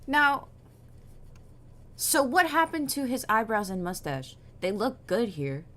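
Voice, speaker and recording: A woman's voice: fairly high pitch, sing-song, slow, fairly bright tone. A woman chatting casually and incredulously while watching videos. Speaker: co-watcher reacting. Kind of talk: casual reaction chat.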